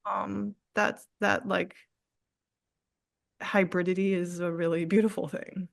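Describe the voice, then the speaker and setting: A woman artist in a candid interview, speaking reflectively with frequent fillers, restarts and soft laughs. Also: fairly low pitch, steady tone, takes it slowly, creaky, no room sound, studio quality. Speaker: artist guest.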